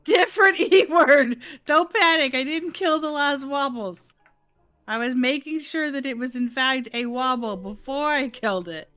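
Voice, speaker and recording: A woman's voice: sits high, fairly bright tone. A woman playing a building game with friends on a livestream, laid-back and joking. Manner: laid-back, drawn-out delivery, light joking. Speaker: co-player on a livestream. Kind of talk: casual gaming chat.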